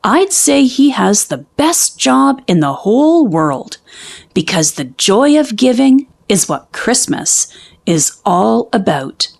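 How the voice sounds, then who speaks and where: A woman playing a kindly, reassuring father bear who gently explains things in a children's storybook. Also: a little high, very animated, slow pace, vocal fry, exaggerated voice. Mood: warm wonder.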